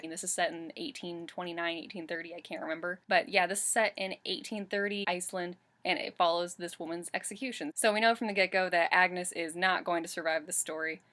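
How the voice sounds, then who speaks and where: A woman's voice: fairly low pitch, a bit bright. A woman in her twenties, chatting enthusiastically about a book she read and correcting herself as she goes, on a webcam in her bedroom. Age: 20s. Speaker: book reviewer. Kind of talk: casual book review vlog. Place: bedroom in front of a bookshelf.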